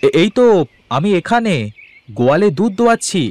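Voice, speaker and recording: A man's voice: high voice, big pitch swings, normal speed, darkish tone. A man playing a stubborn, shrewd poor village milk farmer in a Bengali cartoon. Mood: wary, stubborn. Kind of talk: Bengali moral cartoon voice acting.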